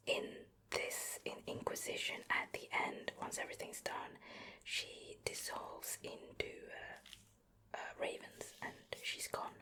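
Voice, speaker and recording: Whispering woman, slow ASMR delivery. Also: sharp tone. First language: Swedish. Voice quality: breathy unvoiced whisper. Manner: casual, rambling, hesitant. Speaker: ASMR video host. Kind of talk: soft whispered ASMR show and tell.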